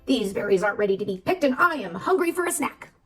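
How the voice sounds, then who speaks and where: A woman's voice: a little high, sing-song, fast, distant mic, faint music behind. A woman voicing a hungry, grumbling male grizzly bear in a children's storybook.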